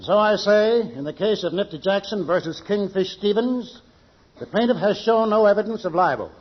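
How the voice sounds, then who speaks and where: A muffled vintage radio recording of a male actor as a dignified judge. He is a man with a fairly high voice and formal, measured, slightly pompous diction, stern and unflappable. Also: lightly acted. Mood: stern, solemn. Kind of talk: old-time radio comedy acting.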